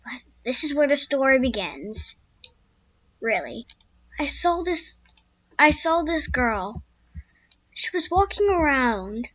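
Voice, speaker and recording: A woman voicing a young wild male horse, a skittish loner, telling his own story in first person with a squeaky voice. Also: big pitch swings, takes it slowly, pauses often, fairly bright tone, no room sound, dull audio, heavily acted. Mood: wistful. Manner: first-person storytelling with restarts, turning frantic when scared. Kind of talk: amateur spoken story.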